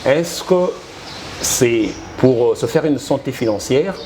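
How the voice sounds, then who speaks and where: Man interviewing, halting with fillers. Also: mid-range voice, expressive, dark tone, a little hiss or hum. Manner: probing, halting with many fillers. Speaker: interviewer host. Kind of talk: political interview.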